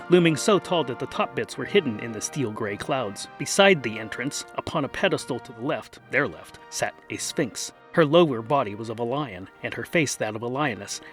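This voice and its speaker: A man's voice: medium pitch, a bit bright. A man narrating a comic fantasy story in a wry, dramatic scripted style.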